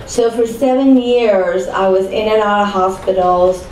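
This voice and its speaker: A woman's voice: mid-range voice, a little slow, a little mellow. A woman in her sixties from Chile giving a personal testimony as a panel speaker at a live event. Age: 60s. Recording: roomy, distant mic, faint background noise.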